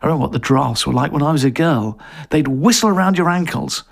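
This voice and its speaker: A man's voice: a little high, fast talker, gravelly. A man voicing a chatty, wry middle-aged woman in an audio story. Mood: nostalgic, wry.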